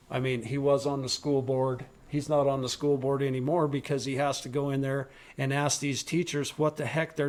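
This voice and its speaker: A man hosting a podcast, talking bluntly and forcefully, swearing freely and raising his voice to make his point. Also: mid-range voice. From rural western United States, raised on a ranch. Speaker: podcast host. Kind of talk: opinionated political talk.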